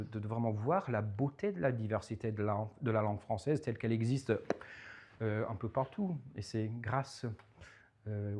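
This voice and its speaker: A man's voice: fairly low pitch, mellow. Hesitant man lecturing. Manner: hesitant, many repeated words and fillers. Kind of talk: public lecture with audience questions.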